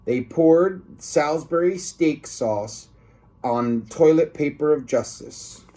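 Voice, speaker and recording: A man's voice: fairly high pitch, animated, takes it slowly, dark tone. A man reading a children's book aloud expressively, like a teacher, with informal asides to his listeners.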